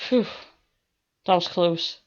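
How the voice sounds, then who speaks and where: A woman's voice: lowish voice, takes it slowly. A woman game streamer reading visual novel narration aloud in a theatrical way. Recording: dull audio with faint music.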